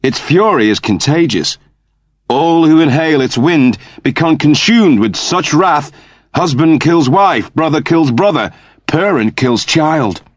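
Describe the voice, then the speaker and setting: A man's voice: fairly high pitch, lively intonation, a little slow, dry recording, clean studio sound, voice pushed up. A man voicing a solemn, intense male rebel leader with formal, declamatory speech in an audiobook. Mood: grim, intense.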